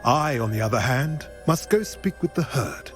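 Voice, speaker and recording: An actor playing an old, wise male centaur who speaks in a grave, measured way in a video game. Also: medium pitch, animated, natural voice. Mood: solemn. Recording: game audio with music underneath.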